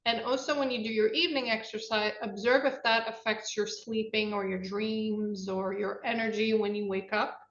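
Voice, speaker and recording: A woman co-hosting a casual podcast, chatty and animated, laughing easily. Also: medium pitch, moderate pace, hardly any pauses. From Brazil. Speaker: podcast co-host. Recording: roomy, dull lo-fi sound.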